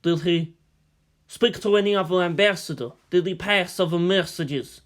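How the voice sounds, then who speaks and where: A man's voice: high voice, normal speed, lightly acted. A man acting out a blustering, commanding Soviet leader. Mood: probing. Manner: emphatic, blustering, grand. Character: boisterous, commanding Soviet Premier, adult man.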